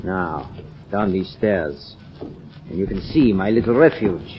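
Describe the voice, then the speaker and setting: Gloating mad scientist, muffled old radio. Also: male voice, low voice, animated, measured pace, slight background noise. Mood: menacing, mock-courteous. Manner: theatrical, gloating, mock-courteous. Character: gloating male mad scientist villain, proud of his monstrous creations. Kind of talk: old-time radio horror drama acting.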